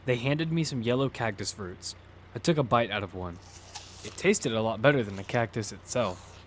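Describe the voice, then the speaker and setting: A man's voice: mid-range voice, lively intonation, moderate pace, a little hiss or hum, natural voice. A man acting a weary, grieving widowed father in a survival audio drama. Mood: weary narration. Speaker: narrator and lead actor. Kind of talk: dramatic first-person audio fiction.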